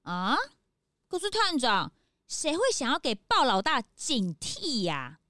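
A woman's voice: on the high side, huge pitch swings, slow pace, pushed and bright, dry recording, studio quality, exaggerated voice. A woman playing a naive, eager little detective's sidekick in a children's audio drama. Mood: drowsy, whiny.

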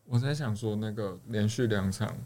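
A man's voice: on the low side, moderate pace, dark tone. A young man with a Taiwanese Mandarin accent, chatting casually in a jokey, inquisitive way. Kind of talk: casual chat.

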